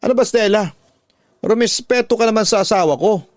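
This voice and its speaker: A man's voice: high voice, fairly quick, no room sound, studio quality, voice pushed up, natural voice. A man playing a harsh, domineering father in a radio drama, stern, berating and threatening. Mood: angry. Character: harsh, domineering father defending his mistress. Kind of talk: Tagalog radio drama acting.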